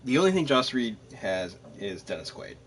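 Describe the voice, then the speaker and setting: A man chatting casually as he streams a game, joking and rambling in an animated way. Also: mid-range voice, moderate pace, soft background music. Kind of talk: casual gameplay commentary and chat.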